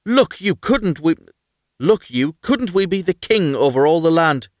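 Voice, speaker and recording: A man's voice: a little high, expressive, unhurried, no room sound, studio quality. A man voicing a bossy, greedy wife in a storybook tale, demanding and commanding. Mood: imperious.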